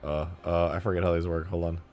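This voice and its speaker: A man live-streaming while he mods a game, thinking aloud, reading chat and laughing loudly at times, his voice going a bit hoarse. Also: low-pitched, flat delivery, fast talker, soft background music. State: losing his voice, slightly hoarse. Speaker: modding streamer. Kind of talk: casual live-stream commentary.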